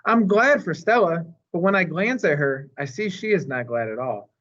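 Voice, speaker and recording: A man reading a children's story aloud as narrator, in an expressive storytime style. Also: on the high side, normal speed, highs cut off. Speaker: storytime reader.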